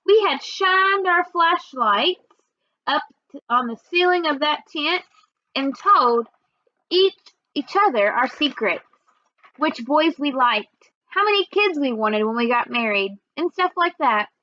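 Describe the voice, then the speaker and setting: A woman storyteller reading a first-person children's book aloud in an expressive, measured way. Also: high voice, lo-fi sound.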